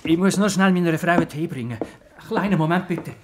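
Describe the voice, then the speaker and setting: A man's voice: fairly high pitch, brisk, close to an everyday voice. A man playing an anxious, placating, loving fairy-tale father with a Swiss German accent and a nervous, apologetic, stammering manner in a children's radio play. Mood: anxious politeness.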